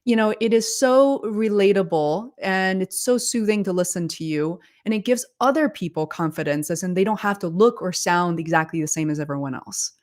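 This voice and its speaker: A woman's voice: mid-range voice, moderate pace, few pauses, darkish tone. Enthusiastic woman hosting a livestream interview. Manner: enthusiastic, effusive. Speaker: livestream host. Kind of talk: conversational interview.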